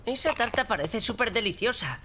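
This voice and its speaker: A woman's voice: a little high, animated, normal speed, noisy background, close to an everyday voice. A woman voicing a mischievous, eager young boy in a dubbed cartoon, talking in a cheeky, wheedling way. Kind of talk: cartoon dubbing.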